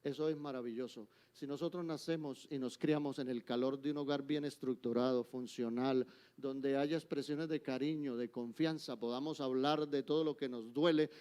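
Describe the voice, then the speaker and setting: An older man with a Colombian accent preaching a sermon in church, emphatic, swinging between forceful exhortation and soft, intimate asides. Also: mid-range voice, fairly even intonation, normal speed, smooth. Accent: Colombian Spanish. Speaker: guest preacher.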